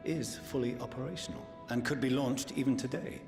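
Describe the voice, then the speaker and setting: A man playing a grave, analytical official in a video game, speaking in a measured, formal, precise way. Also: medium pitch, steady tone, creaky, close to an everyday voice. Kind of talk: scripted video game voice acting. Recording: echoing game audio under loud music.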